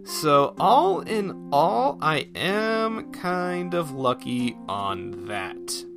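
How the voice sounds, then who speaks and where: A man's voice: a little high, sing-song, very slow, vocal fry, over music. A man talking casually on his own as a podcast host, thinking aloud in a folksy, self-effacing way. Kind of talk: casual solo rambling chat.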